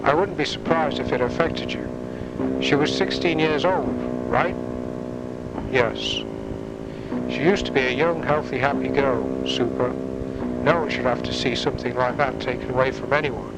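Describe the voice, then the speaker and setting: A man acting a character in a dystopian sci-fi police drama for a motion comic, over a loud ambient rumble. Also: moderate pace, over loud music, close to an everyday voice. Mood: serious, measured. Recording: very noisy, rumbling background.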